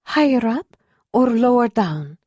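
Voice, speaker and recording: A woman voicing a calm foreign healer with an unusual accent, asking careful, gentle questions. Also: on the high side, unhurried, slightly rough, no echo, clean studio sound, pitched above the performer's own voice, full character voice. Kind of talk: audiobook character voice.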